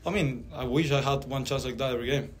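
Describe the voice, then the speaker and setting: Soft-spoken young male soccer player. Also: medium pitch, quick pace, slight background noise. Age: young adult. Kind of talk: post-game press conference answers. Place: press conference room. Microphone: table mic on a stand.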